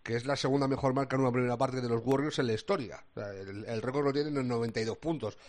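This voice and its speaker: Man, Spain accent, basketball commentator, mid-pitched voice, colloquial, halting delivery, thinking aloud. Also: steady tone, a little mellow. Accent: Spanish from Spain. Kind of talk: casual sports discussion.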